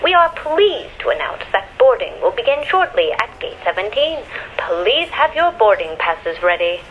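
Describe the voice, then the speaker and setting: A woman's voice: a little high, big pitch swings, moderate pace. A woman playing a polite airport boarding announcer, making a formal announcement over a tannoy in an audio drama. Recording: dull, noisy airport tannoy.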